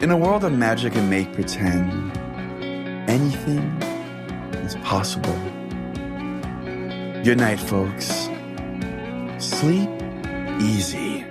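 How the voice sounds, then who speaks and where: Low guy doing podcast story narration, guitar music playing under him. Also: sing-song, slow pace, pauses often. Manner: profane. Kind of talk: scripted first-person comic story narration.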